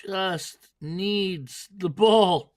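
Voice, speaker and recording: A man's voice: sits high, very slow. A man commentating live darts, joking and self-deprecating as he calls the throws. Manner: jokey, self-deprecating banter.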